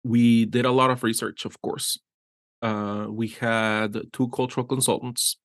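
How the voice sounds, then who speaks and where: A man's voice: mid-range voice, little pitch movement, a little slow, fairly bright tone, dry recording, clean studio sound. A middle-aged man from Guatemala, an art director being interviewed, talking eagerly with many restarts and "right?" tags. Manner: enthusiastic, rambling with frequent restarts and "right?" tags. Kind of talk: casual interview conversation.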